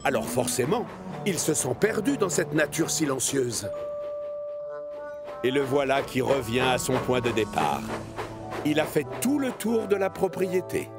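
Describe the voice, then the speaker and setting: A man narrating a children's cartoon story in an expressive storytelling manner. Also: medium pitch, unhurried, over loud music.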